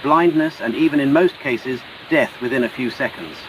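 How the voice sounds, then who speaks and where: A man's voice: mid-range voice, moderate pace, lightly acted, rough recording. A man with a British accent playing a grave TV emergency announcer, reading formal, urgent scripted news over hissy audio.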